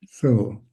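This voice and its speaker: A man with a dark, husky voice reads a translated poem at a very slow, halting pace. His delivery is careful and pausing, one phrase at a time, over a video call. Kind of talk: poetry reading.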